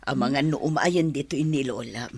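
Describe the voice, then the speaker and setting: A woman playing a calm, patient schoolteacher in a radio drama, soothing in manner, with an Ilocano accent. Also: extremely low pitch, measured pace, gravelly, slight background noise, exaggerated voice. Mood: reassuring. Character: female special-education teacher, calm and reassuring.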